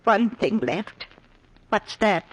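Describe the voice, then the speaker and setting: An actress playing a proud, genteel, decisive elderly spinster in a 1940s radio drama, on an old noisy recording. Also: female voice, mid-range voice, expressive, slow pace, husky. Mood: shocked, bewildered.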